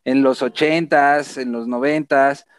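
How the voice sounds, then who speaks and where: A man's voice: mid-range voice, fairly even intonation, slow pace, clear, resonant tone. A man in his thirties hosting an interview, asking questions conversationally through a headset mic on a video call. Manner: conversational, stammers at starts of phrases. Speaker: interviewer host.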